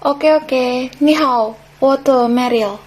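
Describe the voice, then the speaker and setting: High pitched indonesian teen girl doing scripted explainer on a school podcast. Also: takes it slowly, a little mellow. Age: teenager. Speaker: student podcast member.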